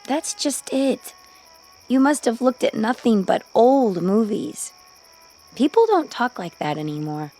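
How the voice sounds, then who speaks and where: An actress playing a gentle, candid young woman in a radio drama, speaking softly. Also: a little high, sing-song, unhurried, soft background music, full character voice. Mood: fond, teasing.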